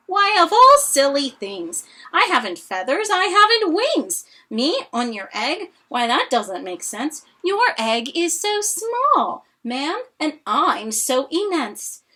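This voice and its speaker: A gentle, faithful giant elephant from a storybook as a woman reader plays him. He speaks earnestly and deliberately, with big swings of pitch. The tone is bright and high for such a huge creature. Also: big pitch swings, full character voice. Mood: amused disbelief. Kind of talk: expressive children's storybook read-aloud.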